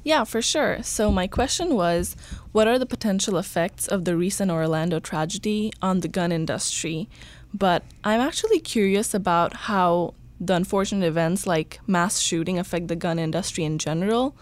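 A woman's voice: fairly low pitch, normal speed. Young woman, from Bangladesh, intern guest, polite, eager. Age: young adult. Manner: polite, eager, a little nervous. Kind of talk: podcast interview.

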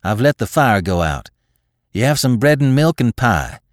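Male narrator as resolute rural mother, homely, firm, swooping pitch, dry storybook recording. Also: sing-song, moderate pace, no room sound, close to an everyday voice. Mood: calm, firm. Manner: homely, firm, rustic grammar. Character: resolute middle-aged farm wife and mother, rural plain-spoken.

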